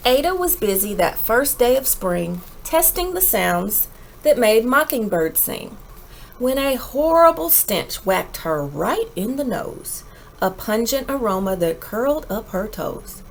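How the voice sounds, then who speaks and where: A woman reading a children's picture book aloud in an expressive, animated way with dramatic emphasis. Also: a little high, measured pace, gravelly.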